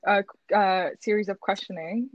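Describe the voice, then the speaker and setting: A woman's voice: mid-range voice, normal speed, dull audio. A woman from the Philippines chatting casually on a podcast, hesitant and giggly with many fillers. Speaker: podcast guest. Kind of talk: casual chat.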